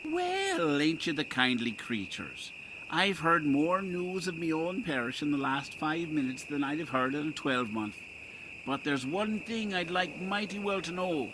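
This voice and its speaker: A good-hearted, blustery Irish parish priest , played by a man, talks in a fairly high male voice. The style is audio drama folk tale, with clear noise in the recording. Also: sing-song, unhurried. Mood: won over.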